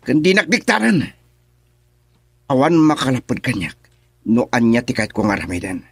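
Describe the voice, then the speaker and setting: A man's voice: medium pitch, big pitch swings, moderate pace, gravelly, breathy edge, no echo, exaggerated voice. A man acting a cold, taunting schemer in a radio drama, speaking in a menacing way.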